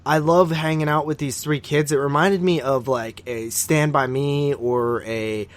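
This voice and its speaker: A man's voice: on the high side, steady tone, unhurried. A man hosting a horror podcast, reviewing films in casual, slangy chat with plenty of swearing. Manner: slangy, swearing, frequent "like" and "dude". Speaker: horror film podcast host.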